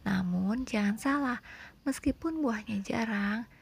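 A woman's voice: a little high, a little slow. A woman from Indonesia narrating a home-garden vlog and addressing her viewers. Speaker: gardening vlogger. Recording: clear background noise, birdsong and outdoor ambience. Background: birdsong, occasional motor vehicles, roosters.

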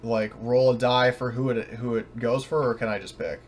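A man with a medium-pitched voice narrates a casual tabletop game as game master. He is halting and unsure, often restarting. Faint noise sits behind him on an online home recording. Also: fairly even intonation. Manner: hesitant, stumbling, unsure of the rules.

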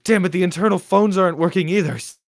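Man acting a loud, panicked prison guard. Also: sits high, fast talker, pitched above the performer's own voice.

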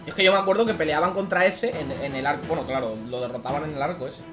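A young adult man from streaming from home, chatting casually with loud excited outbursts and swearing. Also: fairly high pitch, fast, music in the background. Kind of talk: casual live-stream chat.

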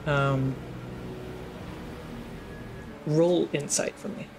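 A man's voice: a little high, steady tone, measured pace, music in the background. A man narrating a tabletop role-playing game casually as game master. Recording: faint background noise.

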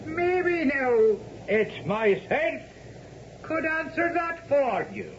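A wee old irish goblin man, crackly and sly, slow talking, old muffled radio drama. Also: very high-pitched, animated, full character voice. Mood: sly, courteous. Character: small hook-shouldered old Irish leprechaun-like stranger, courteous and sly. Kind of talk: 1970s radio drama acting. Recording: lo-fi noisy vintage radio recording.

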